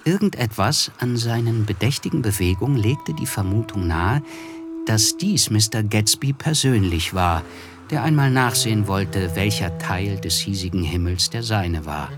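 An actor playing a reserved, observant young man who narrates his memories in a measured, literary radio play style. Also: on the low side, animated, close to an everyday voice. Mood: reflective. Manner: measured, reflective, hesitant in dialogue. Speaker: narrator and character. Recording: studio radio play with music. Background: music.

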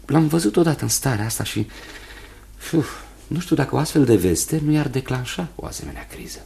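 Radio drama role: emotional young fiancé, kindly and nervous, swooping intonation, hesitant, slightly noisy recording with ambience. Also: male voice, mid-range voice, expressive, creaky, mellow. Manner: earnest, hesitant, repeats himself. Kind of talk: Romanian radio theatre acting.

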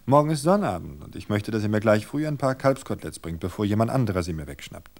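A man voicing a frightened young woman who is forcing herself to sound calm. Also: lowish voice, quick pace, gravelly. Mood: forced casual. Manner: feigning calm, tense and pleading. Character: frightened young wife hiding her fear. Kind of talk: audiobook reading of a thriller.

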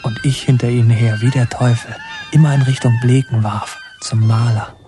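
Male actor as thoughtful narrator looking back on his childhood, literary radio play, deliberate and evocative, music behind him. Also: medium pitch, natural voice. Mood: urgent recollection. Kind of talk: literary radio drama narration, present tense. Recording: music under the voice.

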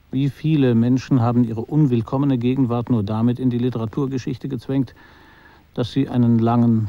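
A man acting as a detached literary narrator for a radio play. His voice is mellow and mid-pitched, his phrasing measured and quietly ironic. The recording sounds a little muffled. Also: no room sound, natural voice.

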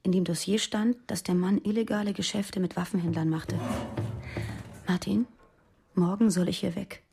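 An actress playing an uneasy young militant woman confiding secrets in a radio drama, hushed, urgent and earnest. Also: mid-range voice, fairly even intonation, normal speed, gravelly, noisy background, natural voice. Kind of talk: German radio drama dialogue.

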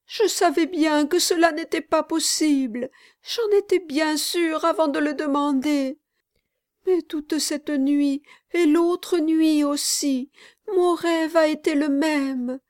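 A woman's voice: high voice, slow pace, a little mellow, no room sound, pitched above the performer's own voice. A woman reading aloud, voicing a small, fervent boy at a window at night. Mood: desperate. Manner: pleading, desperate. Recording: dry close audiobook recording.

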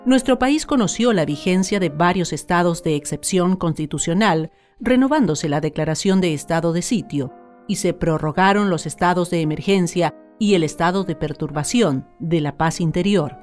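Faint music plays under a Chilean woman narrator with a fairly low voice. She reads a scripted radio documentary in a formal, solemn way. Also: normal speed, a little mellow.

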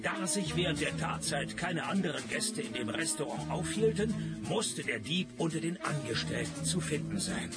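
An actor playing a dry, sarcastic private detective in a radio play, speaking in a deadpan, ironic way. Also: male voice, fairly high pitch, moderate pace, loud music behind the voice. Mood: wry narrating. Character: dry, sarcastic male private detective, unimpressed and quick-witted. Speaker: lead detective and narrator. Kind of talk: radio play dialogue and first-person narration.

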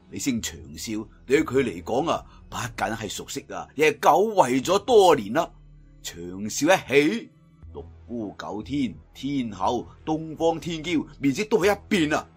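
A man's voice: medium pitch, breathy edge. A man with a Cantonese accent narrating a martial arts tale in a theatrical, colloquial storytelling style. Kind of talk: dramatic scripted wuxia story narration.

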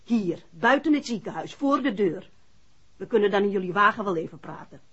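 Husky-voiced anxious woman in radio drama. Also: medium pitch, expressive, fairly quick, fairly bright tone. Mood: defiant, accusing. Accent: Netherlands Dutch. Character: anxious, defiant working woman, mother of injured man. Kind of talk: 1960s Dutch radio play acting. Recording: muffled, hazy old radio recording.

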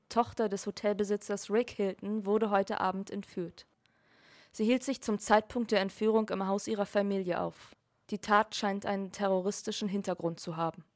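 A woman's voice: medium pitch, fairly even intonation, normal speed, darkish tone, natural voice. An actress playing a sober woman newsreader delivering a scripted late-night bulletin in a German radio play.

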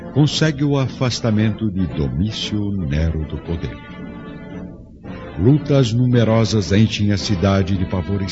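A man narrating a radio drama in solemn, grave, literary style. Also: sits low. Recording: dull lo-fi radio drama recording. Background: clear background music.

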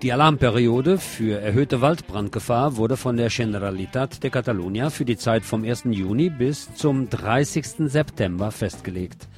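A man's voice: medium pitch, moderate pace, hardly any pauses. Male radio news presenter over music. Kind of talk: scripted news reading with informal asides.

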